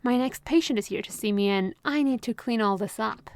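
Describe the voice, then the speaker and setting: A woman voicing a manipulative, possessive female therapist who talks in a honeyed, calmly controlling way, in an ASMR roleplay recorded close to the mic. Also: fairly high pitch, a little fast, dry recording, close to an everyday voice. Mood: smooth, controlling. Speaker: voice actress.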